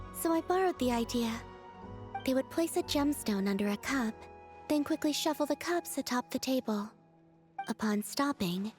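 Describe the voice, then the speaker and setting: A woman plays a serene young moon goddess from a video game. The voice is soft, airy and fairly high, speaking in a measured, formal, innocent way over faint music. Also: lightly acted. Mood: gentle. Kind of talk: video game character acting.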